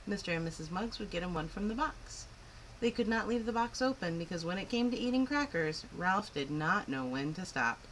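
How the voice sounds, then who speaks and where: A woman reading a picture book aloud to children in an expressive, clearly phrased storytelling style. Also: fairly low pitch, fairly quick, a little hiss or hum, rough recording. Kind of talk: animated children's story narration.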